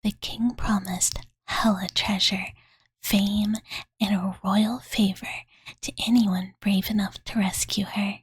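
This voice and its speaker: A woman voicing a cute, devoted dragon girl in a soft, breathy ASMR bedtime-story whisper, gentle and casual, close to the microphone. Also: medium pitch, level tone, dry recording, poor audio. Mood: hushed storytelling. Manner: slow, gentle, valley-girl filler "like", refers to self in third person. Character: cute, childlike dragon girl, devoted and slightly pouty, telling bedtime stories. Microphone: close studio condenser microphone, dry.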